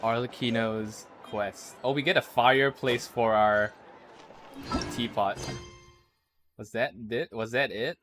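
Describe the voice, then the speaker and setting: Guy streaming a game, chatting and reacting casually, normal pitch, game music low in the back. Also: fairly even intonation, slow pace, pauses often, fairly bright tone. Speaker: livestreaming gamer.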